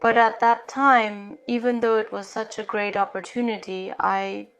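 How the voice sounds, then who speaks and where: Smooth-voiced woman from North Korea. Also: medium pitch, flat delivery, measured pace. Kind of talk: casual chat.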